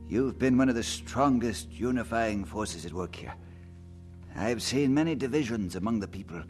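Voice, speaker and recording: A sincere colonial follower in an audio drama. His voice is on the low side, earnest and measured. Faint plucked guitar plays behind him. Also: darkish tone.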